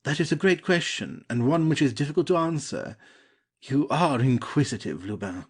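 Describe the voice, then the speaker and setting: An actor playing a polite, cautious gentleman lover in a classic comedy audio play, speaking formally and with measure. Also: medium pitch, expressive, moderate pace, slightly rough. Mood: measured, patient.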